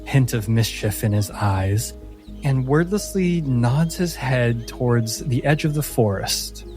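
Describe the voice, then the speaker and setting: A man with a mid-pitched voice acts as game master, telling a fantasy story in descriptive detail. His delivery is very slow and deliberate. Music plays clearly behind him. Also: expressive. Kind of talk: scripted fantasy narration for a tabletop game. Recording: music under the voice.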